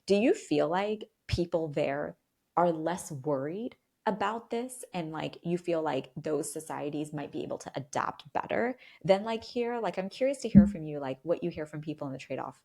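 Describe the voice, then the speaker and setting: A middle-aged woman with a notably low voice, speaking as a podcast guest. She talks in an animated, chatty way, leaning on "like" and doubling words for emphasis. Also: normal speed, creaky. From London. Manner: animated, frequent "like" fillers, repeats words for emphasis. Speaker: guest expert. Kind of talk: casual interview chat.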